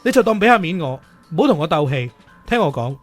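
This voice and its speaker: A man plays a strict, jealous teacher in his early thirties in a Cantonese radio drama. He speaks quickly with very lively pitch in a Hong Kong accent. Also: dry recording, soft background music. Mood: hurt, pleading. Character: stern, jealous thirty-one-year-old male teacher, worried fiancé.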